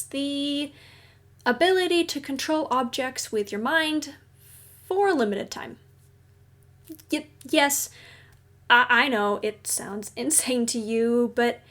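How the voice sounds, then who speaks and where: Female actor as kindly facility agent for audio roleplay: high voice, slow delivery, reassuring and explanatory. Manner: reassuring, gently explanatory, conversational. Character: kindly, professional woman agent at a secret psychic facility. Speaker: agency guide briefing a newcomer. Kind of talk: audio roleplay, acted monologue to the listener.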